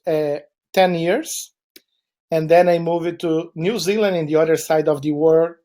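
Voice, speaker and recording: A man's voice: on the high side, dark tone. A man from Chile with a Brazilian Portuguese accent, Portuguese his first language, giving a webinar presentation in a meandering way with frequent fillers.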